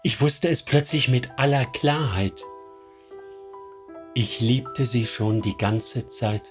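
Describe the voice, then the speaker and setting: A man voicing a devoted young man in a radio drama, narrating in a tender, confessional way over soft music. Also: mid-range voice, takes it slowly, lightly acted. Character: young man secretly in love, anxious, devoted. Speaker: first-person narrator.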